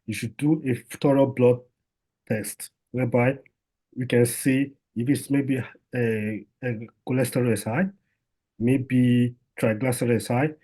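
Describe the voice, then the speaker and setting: A man's voice: mid-range voice, warm tone, husky. A man, a doctor, giving an informal health talk in a conversational way, with lots of fillers and restarts.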